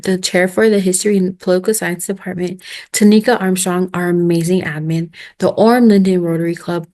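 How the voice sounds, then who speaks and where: A woman's voice: fairly low pitch, darkish tone. A young woman moderating a student panel, reading prepared thanks and announcements steadily and formally. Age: young adult. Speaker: event moderator.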